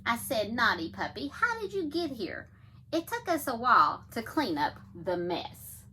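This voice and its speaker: A woman reading picture books aloud to young children in an animated, engaging way, often addressing her listeners directly. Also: fairly high pitch, measured pace, a little hiss or hum.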